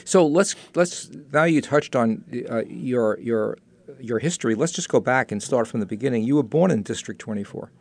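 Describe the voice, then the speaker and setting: A man with a New York accent asks questions in a chatty, joking way, stumbling over restarts. His voice is gravelly and sits in a middle register. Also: medium pitch, animated, moderate pace. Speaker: interviewer. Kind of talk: interview questions.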